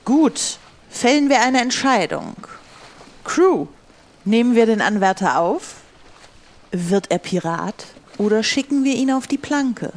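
Slow, commanding pirate captain played by a woman. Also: fairly high pitch, animated. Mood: stern, ceremonial. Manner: slow, deliberate, theatrical. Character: commanding female pirate captain addressing her crew. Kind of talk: audio role-play acting.

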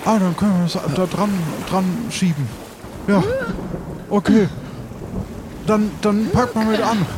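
An older, weary pirate voiced by a man with a high voice and unhurried, halting delivery. He bosses his mates around in an audio play, over clearly noisy ambience. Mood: casual, encouraging. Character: weary older pirate Sören, bossy, rescued castaway. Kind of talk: improvised audio adventure play.

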